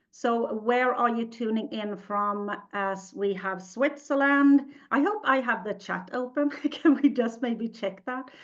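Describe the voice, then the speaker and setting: This is a woman with a Swedish accent, speaking English as her second language while she hosts a live online webinar. She talks in a chatty, upbeat way with a fairly high voice. The audio sounds a little dull and lo-fi. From Sweden. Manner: upbeat, chatty, reads the live chat aloud. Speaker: webinar host.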